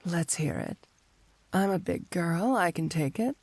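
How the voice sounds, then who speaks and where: Slow, creaky, flirty supervillainess, acted by a woman. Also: fairly low pitch, animated, no room sound. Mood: playful, guarded.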